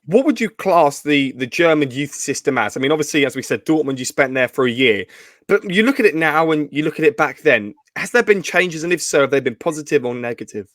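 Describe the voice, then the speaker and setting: A man hosts an interview show, rattling off long framing questions at a quick pace. His voice sits a bit high. The sound is dry and close. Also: expressive, a bit bright, dry recording. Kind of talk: interview hosting.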